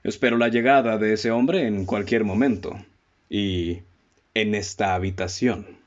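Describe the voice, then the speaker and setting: Radio play detective, male actor: Mexican accent, deep-ish, deliberate and erudite, sure of himself, dull lo-fi sound. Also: fairly even intonation, close to an everyday voice. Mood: confident, explaining. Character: self-assured analytical amateur detective, adult man, methodical and cryptic. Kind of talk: radio drama acting.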